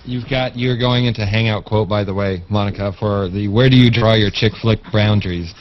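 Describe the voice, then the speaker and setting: A woman with a remarkably deep voice chats casually and wryly on a web panel. The call sounds muffled, with clear noise. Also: fairly even intonation, normal speed. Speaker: panel guest in an online hangout. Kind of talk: casual chat. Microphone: video call. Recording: dull, noisy web call audio.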